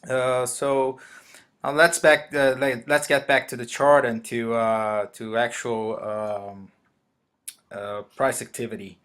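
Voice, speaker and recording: A man from Brazil, a native Portuguese speaker with a Brazilian Portuguese accent, walks through a currency trade at his computer in a hesitant manner, pausing often and restarting sentences. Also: medium pitch, flat delivery, a bit bright. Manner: hesitant, many fillers and restarts. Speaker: forex trader. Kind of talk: screen-recorded trading walkthrough.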